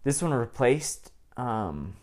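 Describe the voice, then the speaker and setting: A man's voice: medium pitch, very animated, takes it slowly, a little mellow. A man in casual chat, retelling cartoon plots at length with many ums and restarts.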